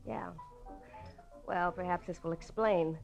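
A woman's voice: on the low side, steady tone, slow pace, a little mellow, dull audio. An American actress playing a tough, shrewd, guarded businesswoman in a late-1940s radio noir drama. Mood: confiding. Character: tough, shrewd woman silk merchant, a femme fatale client. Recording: vintage radio drama, faint music behind.

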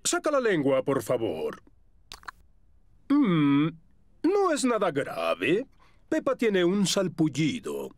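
A man voicing a kindly, reassuring bear doctor in a children's cartoon, very expressive. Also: a little high, slow, pauses often, no room sound, heavily acted.

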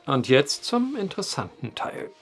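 An actor playing an insistent inquiring gentleman in a radio drama, dryly witty and precise. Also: a little high, huge pitch swings, moderate pace, husky, faint music behind, full character voice. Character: dry, insistent, well-informed inquiring gentleman.

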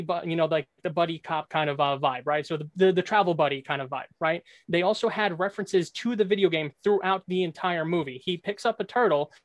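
A man's voice: fairly high pitch, no room sound. A man in a casual debate, explaining his point and often checking for agreement. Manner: seeks agreement with frequent "right?". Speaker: debate contestant. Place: indoor room, video call.

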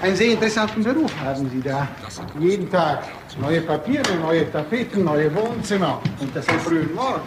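An actor as a high-voiced, smug businessman. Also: animated, brisk, echoey room, noisy background, close to an everyday voice. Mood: mocking politeness. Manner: smug, sarcastically polite, talkative. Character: suave, mocking businessman under police suspicion. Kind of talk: German crime radio play acting.

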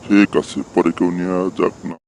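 A man's voice: low-pitched, level tone, normal speed, darkish tone, a little hiss or hum. A man with a Bangladeshi accent playing a nervous young village man in a horror cartoon. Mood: reluctant. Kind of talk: Bengali horror cartoon voice acting.

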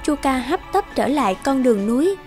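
Female storyteller, higher voice, scripted children's fairy-tale narration, measured delivery, clear background music. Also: big pitch swings.

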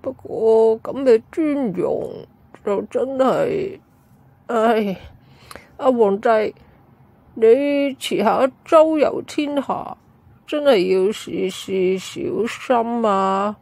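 A woman voicing a disapproving old father in an amateur radio drama, sighing and grumbling slowly over a noisy recording. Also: on the high side, animated, vocal fry, darkish tone, no room sound, full character voice. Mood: resigned, cautioning.

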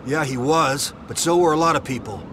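An actor playing a jaded, blunt American outlaw biker gang leader in a video game cutscene, foul-mouthed and sardonic. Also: male voice, a little fast, close to an everyday voice. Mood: weary, resolved. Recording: noisy, street and vehicle rumble behind.

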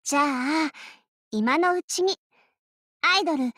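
A woman's voice: high-pitched, a little slow, fairly bright tone, dry recording, clean studio sound. A woman voicing an elegant young lady idol in an anime game, proud and playful, with refined, teasing, theatrical speech and an easily flustered streak. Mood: poised, eager.

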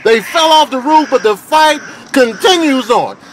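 A man voicing an excitable bird fight commentator in a comic animal voiceover, with a dramatic, goading delivery. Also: very high-pitched, a little slow, a little hiss or hum, poor audio. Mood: excited, awestruck.

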